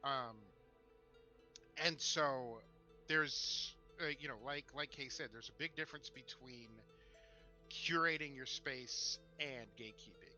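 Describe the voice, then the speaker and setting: Guy on a podcast, super sharp bright voice, stop-start chatty delivery, kinda lo-fi mic w music under. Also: medium pitch. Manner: halting, stop-start, self-deprecating. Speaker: podcast co-host. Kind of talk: casual discussion with anecdotes. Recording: dull narrow-band mic, music underneath.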